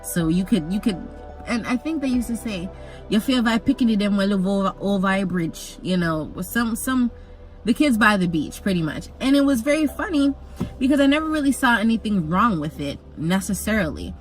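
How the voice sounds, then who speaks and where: A young woman who grew up in Jamaica, telling a personal story in a chatty, animated vlog with jokey asides. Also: medium pitch, moderate pace, faint music behind, rough recording. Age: young adult. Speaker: vlogger.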